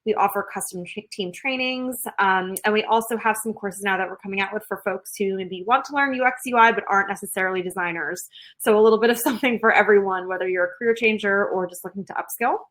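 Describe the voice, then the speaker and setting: A woman's voice: medium pitch, fast, a bit bright. A young woman hosting and introducing a webinar in an upbeat, casual way with frequent giggles. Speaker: webinar host.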